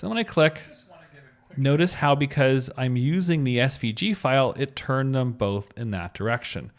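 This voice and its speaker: A man hosting a step-by-step coding tutorial, explaining as he goes and spelling things out in an instructional way. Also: mid-range voice. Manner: instructional, spells out code letter by letter. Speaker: web design tutorial host.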